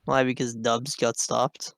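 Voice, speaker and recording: A man's voice: medium pitch, little pitch movement, unhurried, gravelly, a bit bright, no echo, studio quality. A man co-hosting a podcast, chatting casually with a blunt, teasing manner. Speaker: podcast co-host.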